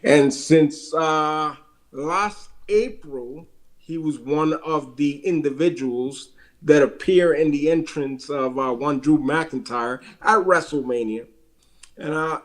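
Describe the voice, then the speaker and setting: Puerto Rican man plugging wrestling events. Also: fairly high pitch. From Puerto Rico. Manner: plugs events with dates and web addresses, hesitant fillers. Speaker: wrestling podcast co-host. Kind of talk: casual promotional chat.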